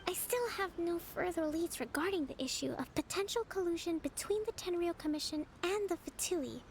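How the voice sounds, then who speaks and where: An actress playing a gentle, gracious young woman leader in an anime game, speaking with soft, composed formality. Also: very high-pitched, expressive, moderate pace, a little hiss or hum. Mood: quietly concerned. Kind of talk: scripted anime game voice acting. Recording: music behind the voice.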